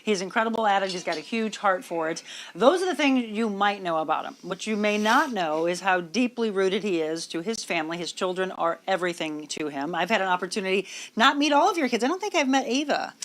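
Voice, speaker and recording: A woman's voice: medium pitch, lively intonation, brisk. Effusive woman hosting a talk show. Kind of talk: conversational interview.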